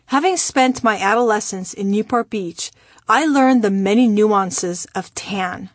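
A woman from Iran, a native Persian speaker, reading her own memoir aloud in a measured, wry storytelling manner. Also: mid-range voice, slightly rough, no room sound, clean studio sound. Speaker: memoir narrator.

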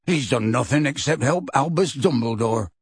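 A man voicing a gruff, defiant but big-hearted half-giant gamekeeper in an audiobook, with a gravelly, husky voice and blunt rustic speech. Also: medium pitch, expressive, moderate pace, no room sound, clean studio sound. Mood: testy, indignant.

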